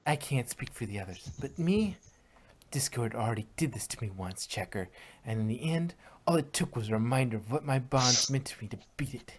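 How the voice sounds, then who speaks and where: A man's voice: medium pitch, expressive, fairly quick, close to an everyday voice. A man reading the lines of a determined young female unicorn heroine, earnest and defiant. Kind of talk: fan reading of a story with voices.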